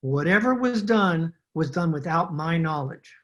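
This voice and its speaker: Gullible husband for radio crime drama, played by a woman: earnest, dramatic, easily alarmed, lively swooping intonation. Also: low-pitched, unhurried, lo-fi sound. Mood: earnest, defensive. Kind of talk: old-time radio drama acting. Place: home recording over video call.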